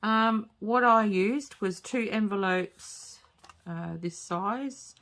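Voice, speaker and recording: A woman with a slightly croaky voice, hosting a casual craft tutorial at home and talking hesitantly with many fillers. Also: mid-range voice, takes it slowly, fairly bright tone. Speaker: crafting channel host. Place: home craft table, late at night.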